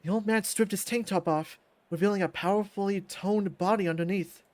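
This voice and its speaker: A man reading a visual novel aloud as its narrator, in an expressive storytelling style. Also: sits high, a little fast, no echo.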